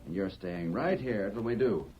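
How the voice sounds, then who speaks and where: An actor playing a cocky, domineering swindler in an old radio western, curt and sure of himself. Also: male voice, on the low side, expressive, normal speed, crowd noise, lightly acted. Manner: curt, confident, bossy. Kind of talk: 1940s radio western acting. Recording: dull old radio recording.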